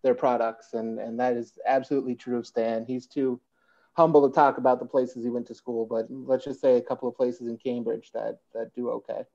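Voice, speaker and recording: Dull call sound, American man, thirties, dark mellow tone, casual panel guest. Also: medium pitch, little pitch movement, a little fast, vocal fry. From Massachusetts, United States. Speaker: guest, product adviser. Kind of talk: casual remarks on a video panel. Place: plain indoor room. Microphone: computer mic on a video call. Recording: slightly dull video-call audio.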